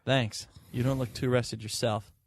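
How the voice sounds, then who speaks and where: A man's voice: mid-range voice, little pitch movement, unhurried, dry recording, clean studio sound, close to an everyday voice. A man playing a tired, idealistic and hot-headed police detective in a sci-fi audio drama, wry and insistent. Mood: tired, evasive. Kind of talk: hard-boiled sci-fi audio drama acting.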